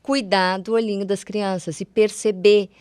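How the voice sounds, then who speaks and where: A woman's voice: medium pitch, fairly even intonation, moderate pace, a little mellow. A Brazilian woman, a guest eye doctor on a podcast, explaining conditions conversationally, step by step in everyday words.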